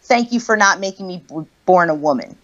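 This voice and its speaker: A woman's voice: on the low side, expressive, a little fast, a bit bright. Woman guest on a video call, mid-range voice, slightly muffled line, explains at length in casual talk. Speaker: livestream guest. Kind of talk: casual discussion.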